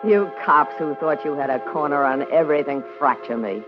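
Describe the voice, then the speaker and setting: A woman's voice: low-pitched, brisk, lightly acted. An actress playing a brazen, scheming, slangy underworld woman in an old-time radio crime drama. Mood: hard-boiled narrating. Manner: brassy, defiant, slangy. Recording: dull lo-fi old radio recording with music.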